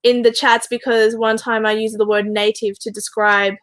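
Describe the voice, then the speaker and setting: A woman's voice: a little high, level tone, fairly bright tone, no echo. A woman who grew up speaking Spanish first, hosting a casual interview in a chatty, agreeable way. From Australia. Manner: chatty, agreeable, quick to laugh. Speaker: podcast host.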